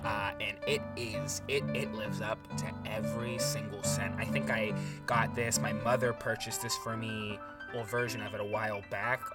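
Man acting excitable, rambling young podcaster, music underneath. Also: fairly low pitch, normal speed, distant mic, close to an everyday voice. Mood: smug, rambling. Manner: rambling, self-satisfied, hesitant. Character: excitable, privileged young man, amateur book podcast co-host. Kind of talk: improvised comedy in casual podcast chat.

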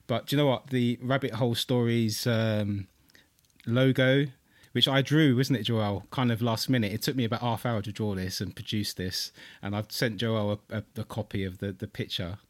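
A man's voice: lowish voice, fairly even intonation, normal speed, no room sound. A man hosting a podcast in a chummy, rambling way with lots of restarts. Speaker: podcast host. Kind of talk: casual podcast chat.

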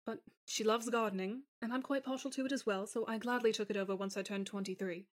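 A woman's voice: medium pitch, steady tone, quick pace, close to an everyday voice. A woman voicing a tender woman reunited with her lost lover in a fan fiction podcast, speaking in careful, formal phrases full of feeling. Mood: reflective. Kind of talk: dramatised fan fiction reading.

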